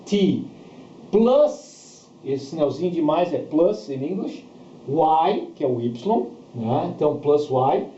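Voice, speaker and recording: A man's voice: a little high, mellow. A Brazilian man giving an explanatory language lesson as a teacher, repeating key words and often checking that learners follow. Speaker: English teacher. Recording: echoey room, dull sound, background noise.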